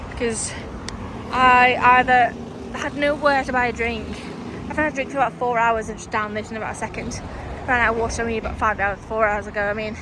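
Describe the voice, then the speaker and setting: Chatty Liverpool woman, slightly high voice. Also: level tone, brisk, noisy background. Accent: soft Liverpool with Lancashire influence. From Liverpool, England. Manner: rambling, chatty, with frequent fillers and laughs. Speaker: vlogger. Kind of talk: casual vlog chat. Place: town street, then in a parked car.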